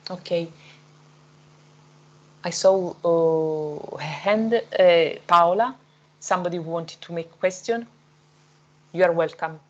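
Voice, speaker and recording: Woman moderator with a low voice, halting and full of fillers, muffled dull sound. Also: darkish tone. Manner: hesitant, many fillers. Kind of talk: moderating an online panel.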